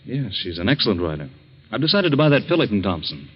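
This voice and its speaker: An actor playing a genial but evasive husband in a 1940s radio drama, with a breezy, casual manner. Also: male voice, lowish voice, big pitch swings, quick pace, slightly rough, faint music behind. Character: smooth, evasive, genial horse-loving husband. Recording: dull old 1940s radio recording.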